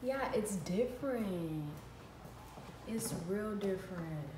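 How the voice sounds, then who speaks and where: Young woman vlogger, playful and slangy, unhurried, roomy far-off sound with audible background noise. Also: mid-range voice, animated. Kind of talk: casual clothing haul. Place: living room, indoors. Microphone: built-in camera mic from across the room. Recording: echoey room, voice off-mic, ambient noise.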